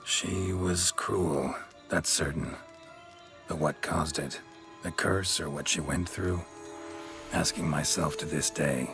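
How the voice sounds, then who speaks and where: An actor playing a stoic, weary monster hunter in a video game, gruff, terse and deadpan. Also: male voice, a bass voice, slow, pauses often, faint music behind, exaggerated voice. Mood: grave, flat.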